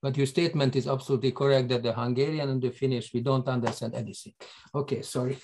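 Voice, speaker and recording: A man's voice: mid-range voice, steady tone, fast talker, a little mellow, a little hiss or hum. A man in his sixties hosting a video call from a book-lined study, chatty and fond of telling anecdotes. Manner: chatty, tells anecdotes with chuckles. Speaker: host. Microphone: video call webcam.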